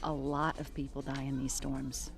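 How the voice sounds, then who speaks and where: A woman's voice: a bass voice, level tone, moderate pace, creaky, music in the background. A woman playing a guarded, dry police detective in an audio drama, speaking in a terse, understated way. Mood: dry, reserved.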